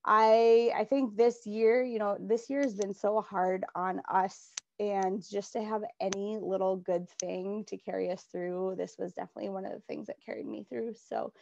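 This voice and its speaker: A woman's voice: medium pitch, fairly even intonation, normal speed, gravelly, few pauses, darkish tone, dry recording, muffled recording. A woman in her thirties with a Minnesota accent, an adapted physical education teacher giving an upbeat, earnest, chatty virtual workshop talk. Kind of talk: virtual conference presentation.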